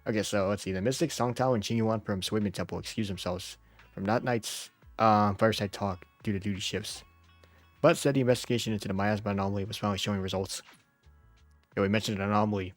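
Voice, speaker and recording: A man with a fairly low voice gives a casual game playthrough while sick. He talks informally and jokingly as he reads story text aloud, with faint music underneath. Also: fairly even intonation, fast talker, no room sound. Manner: informal, jokey, often chuckling. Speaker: game streamer.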